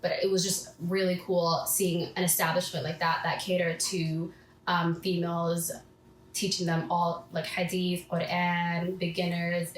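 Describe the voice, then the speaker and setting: A woman's voice: fairly low pitch, flat delivery, measured pace. A woman in her twenties, a podcast guest, telling her personal story in a casual, chatty way and acting out things she once said to herself. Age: 20s. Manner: chatty, acts out her own past self-talk. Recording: echoey room with faint background hiss.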